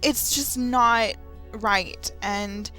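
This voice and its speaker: A woman in her twenties speaking casually and unscripted to viewers, in an earnest, emphatic, halting way. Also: a little high, sharp tone. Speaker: gamer, video maker. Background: background music.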